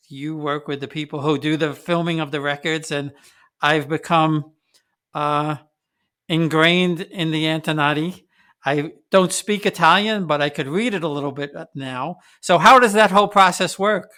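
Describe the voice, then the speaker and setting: A man hosts an interview in a bright, somewhat high voice. He talks in a loose, rambling way, often restarting his sentences before he gets to the question. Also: clean tone. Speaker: podcast host. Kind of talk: conversational interview.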